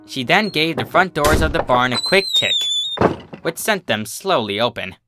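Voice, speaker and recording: A man's voice: fairly high pitch, very animated, moderate pace, over loud music. A man reading scripted story narration as a fiction narrator.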